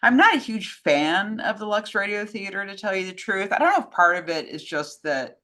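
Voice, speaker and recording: A woman's voice: medium pitch, lively intonation, a little fast, sharp tone. A woman in casual film and radio chat, informal and opinionated, rambling with lots of "like". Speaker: co-host answering fan questions.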